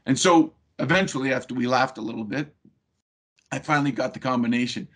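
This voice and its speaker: A man's voice: medium pitch, fairly quick, fairly bright tone, slightly rough. A man giving a webinar talk over a video call, telling a personal story in a conversational way with frequent fillers. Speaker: webinar presenter.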